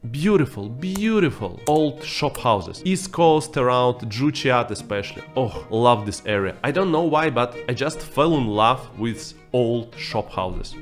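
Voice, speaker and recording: A man with a Russian accent talks through a scripted vlog in English, which is not his first language, with a wry, teasing sarcasm. His voice sits in a middle pitch, and soft music plays faintly behind him. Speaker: YouTuber.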